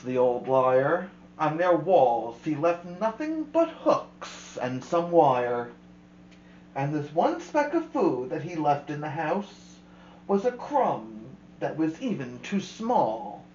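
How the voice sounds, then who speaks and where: A woman host reading a children's rhyming picture book aloud with expressive, rhythmic delivery. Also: low voice, slow, roomy sound, dull audio, slight background noise. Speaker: storyteller host.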